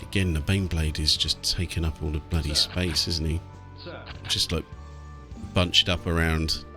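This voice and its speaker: A man's voice: rumbling low, steady tone, moderate pace. A British man giving casual, chatty let's play commentary on a strategy game, thinking aloud as he goes. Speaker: gaming channel host. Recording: game music and battle sounds behind. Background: video game music, artillery and vehicle sounds.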